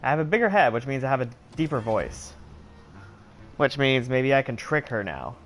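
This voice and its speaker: A man's voice: mid-range voice, sing-song, moderate pace, soft background music. A man streaming a game, thinking aloud in a sarcastic, puzzled way. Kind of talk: casual let's-play commentary.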